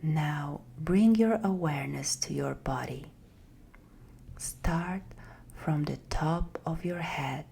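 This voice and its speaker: A woman guiding a meditation in a soft, breathy, half-whispered voice, gentle and soothing with long pauses. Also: low-pitched, a little hiss or hum. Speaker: meditation guide. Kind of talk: guided meditation, slow scripted narration.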